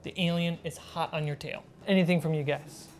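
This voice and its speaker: A woman's voice: deep voice, steady tone, brisk, slight background noise. A woman running a tabletop role-playing game as game master, describing moves and calling for rolls.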